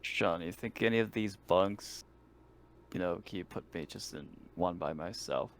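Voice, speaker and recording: A man's voice: low voice, normal speed, a little hiss or hum. A man playing an online tabletop game, speaking in casual conversation. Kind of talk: casual chat. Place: online voice call.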